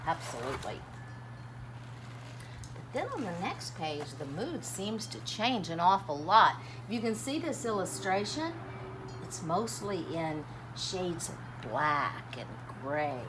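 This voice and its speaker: A woman reading a children's picture book aloud like a teacher, dramatic and expressive, chatting and asking questions of young listeners. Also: on the low side, slow. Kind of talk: animated storytime read-aloud with commentary and questions. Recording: clearly noisy background.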